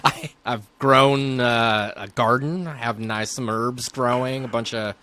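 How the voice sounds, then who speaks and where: A man in his thirties talks casually as an expert interview guest. His voice sits at an average pitch, with faint noise and ambience behind it. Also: fairly even intonation, measured pace, bright, forceful tone. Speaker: podcast guest, neurologist.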